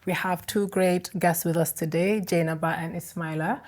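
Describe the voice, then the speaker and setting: A young woman from Denmark hosting an interview podcast, speaking in a measured, even way with some ums. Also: on the low side. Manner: measured and even, with occasional um fillers. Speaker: podcast host.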